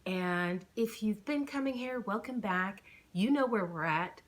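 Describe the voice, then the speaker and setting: A woman's voice: medium pitch, animated, measured pace. A woman hosting her book channel as an author, chatting in an informal, enthusiastic way. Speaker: author reading own novel, channel host. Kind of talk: casual chatty channel intro.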